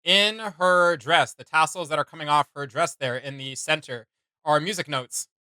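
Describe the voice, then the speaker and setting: Bright, close-up man chatting casually. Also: on the high side, normal speed, clear, resonant tone, no room sound. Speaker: reaction video host. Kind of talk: casual reaction chat.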